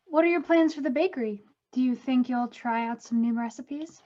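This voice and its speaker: A woman playing a candid, motherly ghost of a bakery owner in an animated comedy table read. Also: high voice, moderate pace, muffled recording, natural voice. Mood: matter-of-fact.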